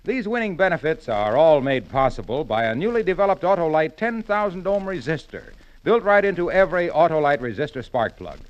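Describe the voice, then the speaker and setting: A man's voice: very animated, a little fast, dull audio. A man reading a hearty, emphatic commercial as announcer on a 1940s radio broadcast. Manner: hearty, emphatic sales pitch.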